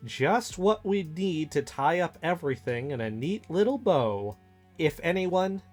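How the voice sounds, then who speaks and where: Audio drama role: skeptical, dry-witted male investigator, medium pitch, conversational, guitar bed. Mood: sarcastic, suspicious. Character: suspicious, sardonic, guarded man, an amateur investigator. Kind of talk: naturalistic audio drama dialogue.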